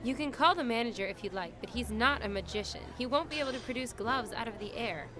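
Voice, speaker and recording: A woman's voice: a little high, animated, quick pace, over music, natural voice. A woman playing a young, unflappable hat check girl in a radio drama, polite, dry and firm. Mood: cool, defiant.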